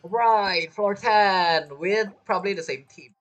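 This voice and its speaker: A man's voice: high-pitched, slow pace, fairly bright tone, highs cut off. A man giving casual, musing gameplay commentary as a streamer, thinking aloud. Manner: rambling, musing. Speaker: game streamer. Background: faint game music.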